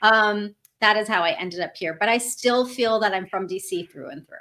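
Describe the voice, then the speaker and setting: A woman's voice: mid-range voice, moderate pace, sharp tone. Earnest, candid woman coach. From US, Northern Virginia. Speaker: transformational coach, guest. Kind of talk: casual live interview.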